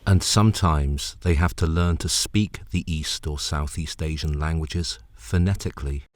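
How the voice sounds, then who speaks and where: A man narrates a personal essay film in a low, deep voice. His delivery is wry and rhythmic, building through repeated phrases. The sound is dry, with very little room on it. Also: normal speed, no echo. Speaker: presenter, narrator, actor.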